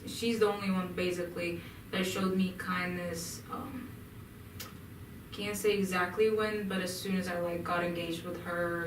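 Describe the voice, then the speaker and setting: A young woman from Egypt answering interview questions in a hesitant way, with many pauses and restarts. She is recorded in an echoey room with the mic some distance away. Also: fairly low pitch, background noise. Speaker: interview guest.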